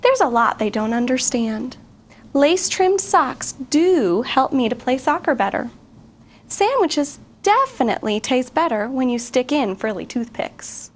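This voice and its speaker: High-voiced woman reading to children. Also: measured pace. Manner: expressive, questions to young listeners. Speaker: guest reader, teacher. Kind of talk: reading a picture book aloud to children.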